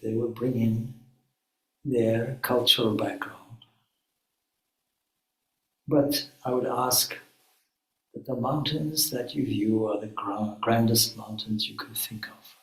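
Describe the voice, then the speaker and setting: A man leading a guided meditation as a spiritual teacher, speaking calmly in measured phrases with frequent pauses. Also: mid-range voice. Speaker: swami, guided meditation leader. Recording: echoey room.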